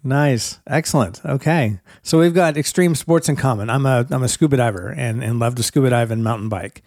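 A man's voice: mid-range voice, a little fast, a little mellow, dry recording, clean studio sound. A middle-aged man hosting a business podcast, upbeat and encouraging as he welcomes and questions his guest. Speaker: podcast host.

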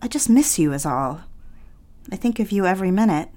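A woman's voice: mid-range voice, animated, a little fast, mellow, close to an everyday voice. A woman playing a lovelorn, petulant young housewife and mother in a period audio drama, pleading and wistful with old-fashioned phrasing. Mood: contrite, wistful.

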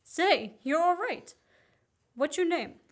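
A woman's voice: very high-pitched, animated, takes it slowly, exaggerated voice. A woman acting an earnest young man on the run in a radio play. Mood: relieved, charming. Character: Richard Hannay, earnest young man, innocent fugitive. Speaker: student actor.

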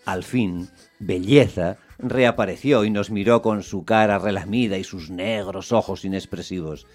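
An actor narrating a radio play as a measured storyteller, in a deliberate, literary, descriptive way. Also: male voice, sits low, a little mellow, faint music behind. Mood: wry, measured. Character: measured male storyteller, wryly observing a small dog.

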